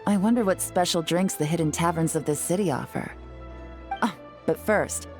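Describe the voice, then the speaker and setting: A woman voicing a poised, cool and teasing female agent in a video game, measured, suave and articulate. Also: fairly low pitch, darkish tone, natural voice. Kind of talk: dramatic video game voice acting.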